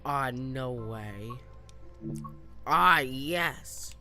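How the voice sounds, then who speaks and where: Slow-talking young man with music behind. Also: mid-range voice, animated, pushed and bright. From US East Coast. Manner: hesitant, lots of fillers and restarts. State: voice a little off from coughing. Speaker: game streamer. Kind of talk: casual gameplay commentary.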